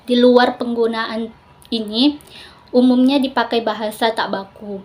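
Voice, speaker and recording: A woman's voice: on the high side, fairly even intonation, measured pace, a little mellow. A young adult woman, a student giving a scripted presentation with explanatory asides. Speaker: university biology student presenting an assignment.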